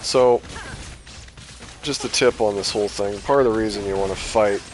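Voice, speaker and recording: A man's voice: lowish voice, normal speed, a little hiss or hum. A man giving casual, rambling gameplay commentary on a livestream, his voice starting to tire. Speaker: game livestreamer.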